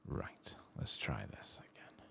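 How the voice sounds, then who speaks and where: A soft-spoken man giving hushed, unhurried ASMR commentary as he plays a game, murmuring and musing to himself, at times nearly whispering. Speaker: gaming video creator.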